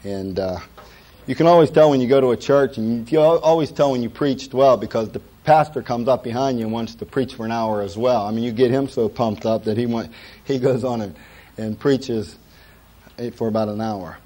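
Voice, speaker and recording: Hoarse preacher with a dark, mellow voice. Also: male voice, medium pitch, moderate pace. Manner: folksy, joking asides, many "uh" fillers. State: hoarse from a cold. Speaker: pastor. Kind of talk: sermon.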